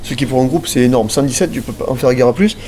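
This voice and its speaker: A man with a southern French Midi accent talks fast and jokily as a guest musician. Clear background noise and clicks sit behind his voice. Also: mid-range voice. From Cévennes, southern France. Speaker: band member, musician guest. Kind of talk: casual radio interview chat.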